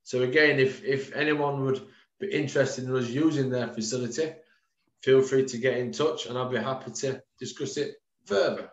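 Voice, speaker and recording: A man's voice: medium pitch, moderate pace. A man in his thirties, a strength and conditioning coach, giving an informal webinar presentation over a video call, with frequent ums, calling his listeners guys. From United Kingdom. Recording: roomy, dull lo-fi webcam audio.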